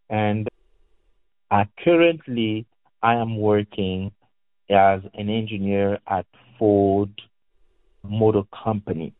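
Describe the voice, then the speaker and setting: A man from Cameroon with a Cameroonian accent, a guest talking and reading aloud in deliberate, halting phrases. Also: on the low side. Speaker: guest reader, educator and engineer. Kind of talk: phone interview with reading aloud from a book. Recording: echoey, tinny phone-like line.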